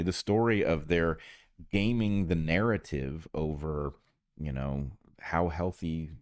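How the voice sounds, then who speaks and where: A man co-hosting a podcast, explaining his ideas conversationally in deliberate, measured phrases with pauses. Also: a bass voice, no echo, studio quality. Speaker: podcast co-host, biologist.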